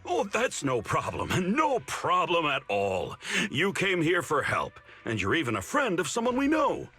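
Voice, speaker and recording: Wildly animated, glib young disciple. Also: male voice, a little high, measured pace, husky, soft background music, heavily acted. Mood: eager, obliging. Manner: salesman-like, cajoling, theatrical. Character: glib, eager young mystic-arts disciple, fast-talking schemer. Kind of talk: anime-style game voice acting.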